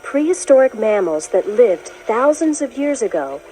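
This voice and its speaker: A woman reading a children's science book as scripted narration, in a clear, expressive storytelling manner. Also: fairly high pitch, normal speed, faint music behind, low-quality recording. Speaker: narrator of a children's educational read-along.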